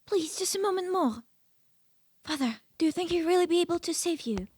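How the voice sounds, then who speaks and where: A devoted young daughter , voiced by a woman, in a radio drama. Her voice is extremely high, with an anxious, pleading earnestness. The sound is dry. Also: animated, fairly quick, a little mellow, no echo. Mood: distressed. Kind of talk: radio play acting.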